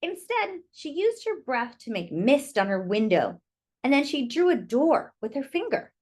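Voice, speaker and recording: A woman's voice: high voice, sing-song, moderate pace, darkish tone. A woman reading a picture book aloud to young children, expressively and with little asides to her listeners.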